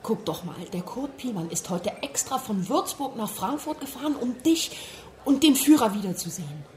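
A woman's voice: on the high side, big pitch swings, normal speed, dark tone, slight background noise. An actress playing an anxious young woman in radio play dialogue. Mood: hushed, urgent. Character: anxious young woman soothing a friend in a tavern.

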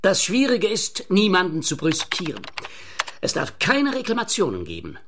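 A man's voice: high voice, huge pitch swings, moderate pace, background noise, full character voice. An actor playing a fussy, earnest inventor absorbed in his business system in a radio play. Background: computer keyboard typing.

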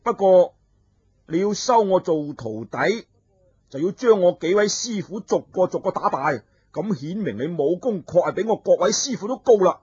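A man's voice: high voice, animated, moderate pace, darkish tone, breathy edge, no echo, voice pushed up, exaggerated voice. A man voicing a clever, cheeky young male scholar who bargains and teases his opponent. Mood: scheming. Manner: bargaining, teasing, wily. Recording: dull lo-fi recording.

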